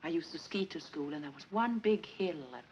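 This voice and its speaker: An actress playing a gentle, earnest nun who quietly persuades, in a 1940s film. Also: female voice, low-pitched, lively intonation, brisk. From Sweden. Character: gentle, faithful nun, young adult, quietly determined. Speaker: nun and school head. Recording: dull 1940s film soundtrack, faint hiss.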